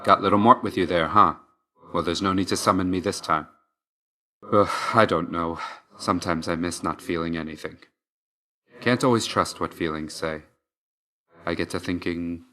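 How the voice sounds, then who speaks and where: A man's voice: deep voice, pushed and bright. A man voicing a stern, brooding young god of death in a video game, speaking in a curt, restrained, solemn way with dry understatement. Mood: dry, reserved, then quiet, guarded. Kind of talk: scripted video game voice lines.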